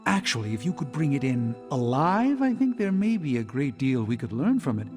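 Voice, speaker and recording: A man playing a learned, self-assured sorcerer doctor in a video game cutscene, speaking in formal, precise, deliberate phrases. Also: mid-range voice, very animated, natural voice. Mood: skeptical, urging. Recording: loud game music behind.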